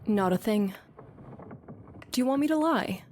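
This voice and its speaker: A woman's voice: on the high side, animated, unhurried, darkish tone. An actress plays a sceptical woman who keeps her guard up with an old lover. She is dry and blunt, sparring in a natural stage-drama delivery. A faint noise sits behind her voice. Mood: cool, regretful. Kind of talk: conversational stage-play acting.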